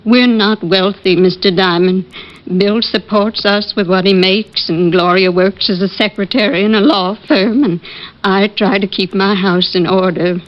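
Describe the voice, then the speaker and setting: A woman playing a gentle, anxious middle-aged widow, politely hesitant, in 1940s radio drama style. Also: medium pitch, steady tone, moderate pace, lightly acted. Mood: worried. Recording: muffled old-time radio recording.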